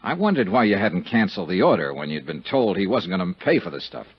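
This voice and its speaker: An old, muffled, hissy radio recording carries a hard-boiled investigator in a 1950s detective serial. His voice sits on the low side and moves with lively inflection. He is wry, clipped and cynical. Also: fast. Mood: cynical, probing. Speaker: lead actor and narrator.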